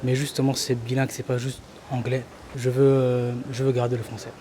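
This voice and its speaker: A man's voice: medium pitch, level tone, brisk, dark tone, a little hiss or hum. A man student speaking in a firm, plain way.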